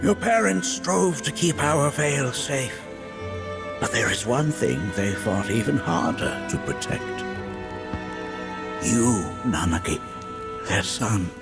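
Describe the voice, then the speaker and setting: An actor playing a wise old village elder in a video game, a grave but gentle mentor who speaks in formal, archaic, theatrical phrases. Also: male voice, medium pitch, very animated, slow pace, creaky, long pauses, heavily acted. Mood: gentle, proud. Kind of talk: video game cutscene voice acting. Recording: loud music behind the voice.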